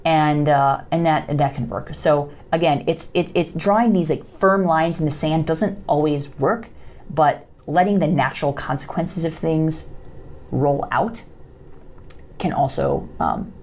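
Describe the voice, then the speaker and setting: A low-voiced woman in her thirties talks through listener questions on her podcast. Her delivery is loose and conversational, full of ums and restarts. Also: unhurried, a little mellow. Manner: conversational, many fillers and restarts. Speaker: podcast host. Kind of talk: casual advice talk, answering listener questions.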